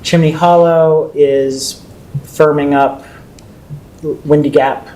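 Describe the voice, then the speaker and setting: A man from the city's water staff briefs a council workshop. His voice is mellow and his delivery is measured and explanatory, with frequent ums. A faint rumble sits under the recording. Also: fairly even intonation, low-quality recording. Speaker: city water staff presenter.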